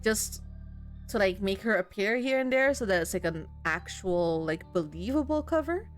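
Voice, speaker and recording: A woman giving casual, reactive let's-play commentary on a horror game, thinking out loud in an informal way. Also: medium pitch, animated, moderate pace. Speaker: game streamer playing a horror visual novel. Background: game music.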